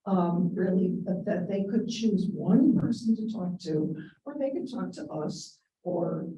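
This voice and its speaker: A woman with a raspy voice, speaking softly and reflectively about her own life on a panel. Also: fairly low pitch, measured pace. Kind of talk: personal recollection at a panel. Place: event room. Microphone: handheld microphone. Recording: roomy, distant event recording.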